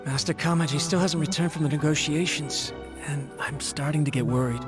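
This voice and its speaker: A man's voice: on the high side, brisk, over music, close to an everyday voice. A man voicing a nervous, deferential young clan retainer in a video game, hesitant and very polite. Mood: worried.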